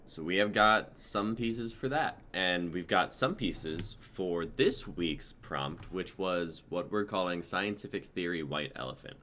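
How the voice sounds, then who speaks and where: A man's voice: deep voice, measured pace, few pauses, sharp tone. A man in his twenties casually hosting a livestream in an informal, hyped, sweary way. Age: 20s. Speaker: host of a livestreamed script-reading show. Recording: noisy home livestream audio.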